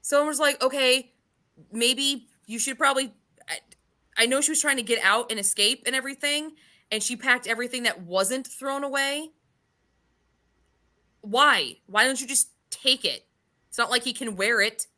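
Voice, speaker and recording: A woman's voice: high voice, normal speed, long pauses, bright, forceful tone. A woman in a casual, opinionated book chat, throwing out exasperated rhetorical questions and swearing freely. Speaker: book club podcast host.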